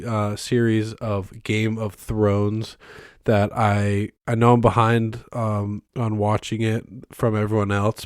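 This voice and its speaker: A young man hosting a casual solo podcast from his bedroom, rambling with many ums and restarts, his voice hoarse and congested from being sick. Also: on the low side, darkish tone, dry recording, studio quality. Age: young adult. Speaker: podcast host. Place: bedroom used as a studio. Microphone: close microphone, filmed on camera.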